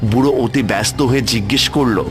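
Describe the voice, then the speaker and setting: Mid-pitched man narrating over loud music. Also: brisk. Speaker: storyteller, narrator. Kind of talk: scripted horror story narration. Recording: loud music with cricket sounds behind.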